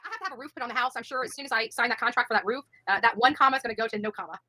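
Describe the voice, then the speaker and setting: A woman's voice: fairly high pitch, very fast, pushed and bright, faint music behind. A woman in her forties chatting bluntly and brashly on a livestream panel, laughing often. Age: 40s. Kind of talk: casual livestream chat.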